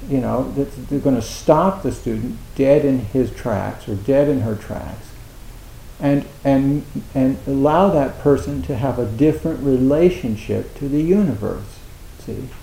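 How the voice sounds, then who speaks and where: A man giving a dharma talk as a Zen teacher, speaking haltingly with many restarts and a wry, self-mocking humor. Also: medium pitch, lively intonation, warm tone, reverberant, low-quality recording. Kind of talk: dharma talk at a meditation retreat.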